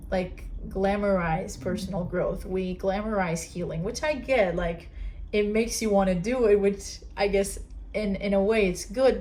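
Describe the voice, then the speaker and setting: A woman's voice: mid-range voice, darkish tone, a little hiss or hum, rough recording. A young woman with a Portuguese accent hosting a casual solo podcast, talking earnestly with many fillers and restarts. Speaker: podcast host.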